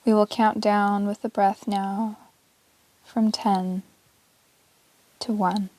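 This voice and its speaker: A woman teaching yoga, guiding a relaxation meditation in a calm, measured, soothing way with long pauses between short phrases. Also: mid-range voice, low-quality recording. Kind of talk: guided yoga nidra meditation, relaxation narration.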